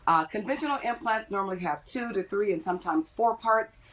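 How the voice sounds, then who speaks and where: A middle-aged woman speaking as a dentist in an interview, explaining things in a measured way. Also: mid-range voice, expressive, a bit bright. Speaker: dentist interviewed as expert guest.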